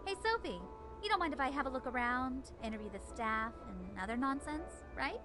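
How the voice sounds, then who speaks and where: A man's voice: very high-pitched, expressive, fairly quick, bright, forceful tone, faint music behind, voice pushed up, exaggerated voice. A man voicing a bubbly, pushy young woman reporter in a visual novel, with a breezy, gushing, theatrical delivery. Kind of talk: visual novel character voice read-aloud.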